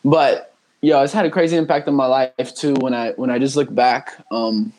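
A man's voice: mid-range voice, steady tone, moderate pace, a little mellow, poor audio. A young man in his twenties, raised in Honduras, talking casually and earnestly as a podcast guest, with frequent fillers and restarts. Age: 20s. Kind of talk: casual conversational interview.

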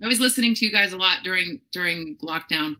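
A woman's voice: fairly low pitch, fast talker, sharp tone. Chatty, enthusiastic woman interviewer. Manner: chatty and enthusiastic, full of fillers and storytelling. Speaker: interviewer and show host. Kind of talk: casual conversational interview.

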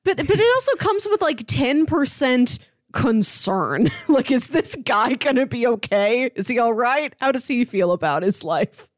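A woman's voice: on the high side, sing-song, measured pace, no room sound, studio quality. A woman co-hosting a film podcast, talking in a casual, conversational way.

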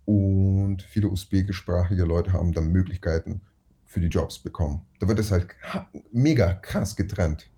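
Deep, dark husky-voiced man. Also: moderate pace. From Uzbekistan. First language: Russian. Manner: slangy, emphatic storytelling. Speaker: actor guest. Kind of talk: casual podcast interview.

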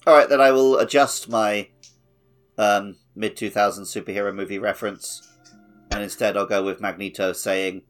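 A man's voice: fairly low pitch, steady tone, moderate pace, a bit bright, soft background music. A man hosting a casual gaming podcast, talking in a jokey way. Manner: jokey, drops film quotes. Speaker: podcast host and editor.

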